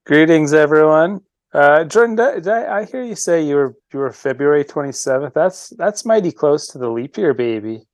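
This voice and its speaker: A man's voice: fairly high pitch, lively intonation, normal speed, no room sound. A man co-hosting a casual podcast chat, playful and teasing, often restarting his words. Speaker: podcast co-host.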